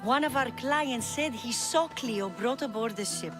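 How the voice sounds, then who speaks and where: Casting: actress as loyal, earnest young woman in a game drama, higher register, music behind. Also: unhurried, natural voice. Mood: worried. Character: worried loyal young courtesan seeking her friend. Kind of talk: video game dialogue acting.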